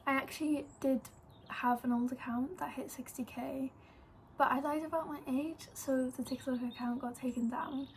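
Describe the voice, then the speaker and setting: A young Welsh woman of eighteen rambles through a personal story in an easy vlog style, sprinkling in "like" and "um". Her voice sits high and stays fairly even in pitch. A faint hiss runs under the recording. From Wales. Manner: chatty, lots of "like" and "um". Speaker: vlogger. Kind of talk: casual storytelling to camera.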